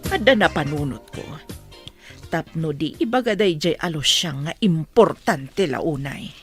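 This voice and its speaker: A woman's voice: low voice, animated, a little slow, music in the background. A woman playing a scheming, pushy mother in a radio drama, speaking with an Ilocano accent. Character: scheming, pushy mother coaching her grown daughter.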